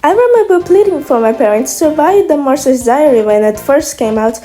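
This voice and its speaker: Rambling young Brazilian woman, mellow tone. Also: high-pitched, expressive, brisk, soft background music. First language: Portuguese. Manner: rambling, hesitant, many restarts. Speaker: video creator. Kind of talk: casual monologue.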